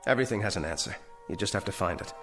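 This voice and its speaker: Casting: insistent military officer for radio drama; clipped, restless, on the deep side. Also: male voice, lively intonation, racing, fairly bright tone, faint music behind. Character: insistent, restless army major demanding answers.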